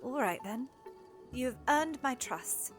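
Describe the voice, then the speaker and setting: Young princess, acted by a woman, high voice, proper and expressive, playful, eager, audio drama, soft music behind. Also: unhurried. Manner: formal, expressive diction.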